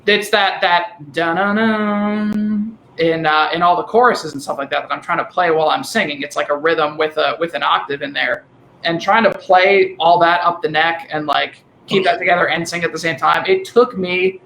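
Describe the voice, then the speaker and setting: A man with a high, thin voice and a Delaware County accent, a musician guest on a remote podcast call, rambling and restarting often. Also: pushed and bright, echoey room, slight background noise, rough recording. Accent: Delaware County, Pennsylvania. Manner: rambling, halting with many restarts. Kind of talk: casual remote podcast chat. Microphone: dynamic vocal mic over a call.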